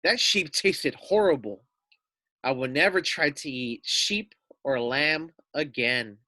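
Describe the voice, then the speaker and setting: Man playing a high, loud, gullible hungry wolf. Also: slow pace, no echo. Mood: indignant complaining.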